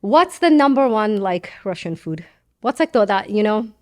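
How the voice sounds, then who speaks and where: A middle-aged woman from Iran talks as a podcast guest, animated and joking, throwing in quick asides. Her pitch sits mid-range. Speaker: guest journalist. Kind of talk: casual podcast conversation.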